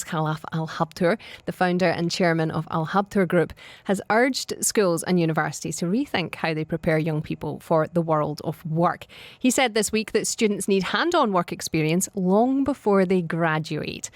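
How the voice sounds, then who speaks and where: Radio host woman, clear studio sound. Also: on the low side, no echo. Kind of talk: radio talk show hosting. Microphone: studio microphone.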